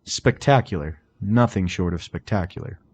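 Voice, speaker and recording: A man reading a fan fiction story aloud in expressive scripted narration. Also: low voice, normal speed, slightly rough.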